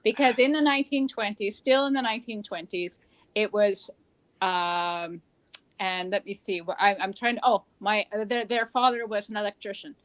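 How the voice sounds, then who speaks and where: A woman's voice: medium pitch, steady tone, moderate pace, no echo, rough recording. A woman with a Canadian accent, an interview guest who talks in a chatty, digressive way and corrects herself. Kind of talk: conversational interview.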